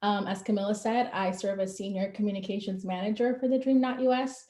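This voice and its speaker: A woman from Guyana introducing herself as a communications manager in a friendly, relaxed way on a video call. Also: mid-range voice, a little fast. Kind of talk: online info session introduction. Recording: echoey room.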